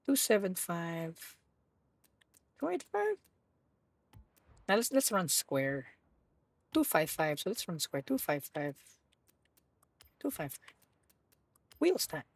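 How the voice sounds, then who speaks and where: A woman with a husky voice, hosting a live stream and rambling playfully while she plays. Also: on the low side, a little mellow, no room sound. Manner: rambling, self-correcting, playful. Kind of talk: casual live-stream chat while gaming.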